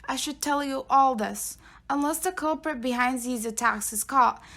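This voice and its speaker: A woman's voice: high-pitched, bright, forceful tone. A woman playing a solemn, stern teacher in an audio drama, speaking formally and firmly. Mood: stern, somber. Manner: formal, firm, measured. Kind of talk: fan audio drama acting.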